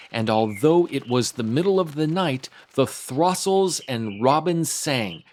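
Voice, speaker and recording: Man narrating a children's story, mid-pitched. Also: a little hiss or hum. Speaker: storytime host and reader. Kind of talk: scripted children's story narration, read aloud.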